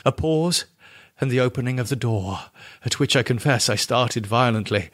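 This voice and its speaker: A man's voice: mid-range voice, normal speed, a bit bright, dry recording. Man dramatically narrating horror, dry studio sound. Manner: theatrical, suspenseful. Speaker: podcast narrator reading horror fiction. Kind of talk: dramatic audiobook narration of a first-person horror story.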